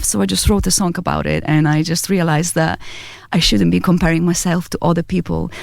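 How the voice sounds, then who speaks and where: A woman in her thirties from Poland with a Polish accent, a singer-songwriter answering interview questions softly in a small radio studio on a boom mic. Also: gravelly, no echo. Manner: soft-spoken, laughs often, hesitant fillers. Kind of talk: casual interview chat.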